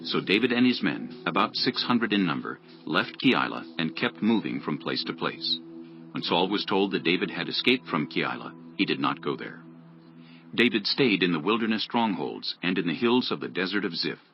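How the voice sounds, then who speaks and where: A man's voice: deep voice, very animated, moderate pace, slight background noise. A man narrating scripture as a scripted dramatized audiobook. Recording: dull lo-fi audio.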